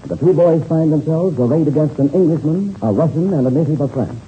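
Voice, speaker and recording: A man's voice: fairly high pitch, fairly quick, warm tone. A man narrating as announcer for a 1930s radio serial. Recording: muffled, hissy old radio recording.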